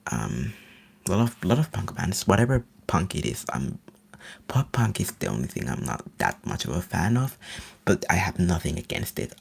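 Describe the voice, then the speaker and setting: A man from Finland, a native Finnish speaker, rambling eagerly in a soft whisper as an ASMR live streamer. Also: low voice, huge pitch swings, normal speed, creaky, breathy edge.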